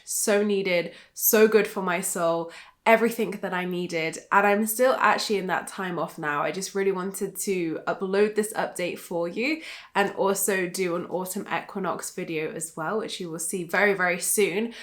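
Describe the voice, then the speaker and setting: Woman, 30, vlogger; chatty and bubbly, lots of "like" and "um", mid-pitched, a touch nasal from a lingering cold. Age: 30s. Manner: chatty and bubbly, many fillers like "like" and "um". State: recovering from a cold. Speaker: life coach and YouTuber. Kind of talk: casual vlog chat to camera.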